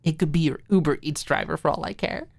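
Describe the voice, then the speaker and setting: A woman's voice: deep voice, fast talker, vocal fry, no echo. A woman voicing a bubbly, tipsy, teasing young woman in audiobook dialogue, with a giggly, playful manner. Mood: playful, daring.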